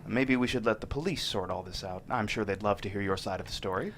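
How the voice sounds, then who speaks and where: A man's voice: fairly low pitch, fast, fairly bright tone, slight background noise, lightly acted. An actor at a screenplay table read playing a menacing male killer who talks with polite, condescending civility. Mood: smooth, condescending.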